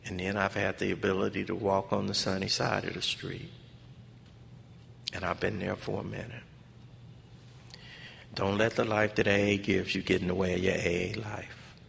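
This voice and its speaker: A man's voice: deep voice, flat delivery, moderate pace, vocal fry, long pauses, a bit bright. An American man giving a personal testimony speech at a convention, preacher-like and emphatic, repeating phrases in colloquial English. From Los Angeles, United States. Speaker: speaker at a recovery fellowship convention. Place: large conference hall. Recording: echoey hall PA with background noise.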